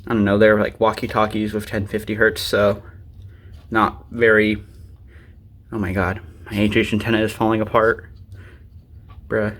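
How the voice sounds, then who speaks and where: A man's voice: on the low side, flat delivery, moderate pace, long pauses, fairly bright tone. A man giving a casual, unscripted show-and-tell of his collection, rambling offhandedly with muttered asides to himself. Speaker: collector presenting his radios. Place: indoors, at home by a desk. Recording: clearly noisy amateur recording.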